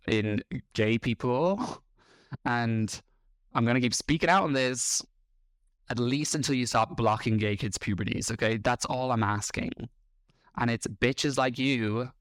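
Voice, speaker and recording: A man's voice: mid-range voice, measured pace, a bit bright, no room sound. An Irish man in his thirties, a podcast host reacting to clips in a blunt, combative and sarcastic way. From Ireland. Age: 30s.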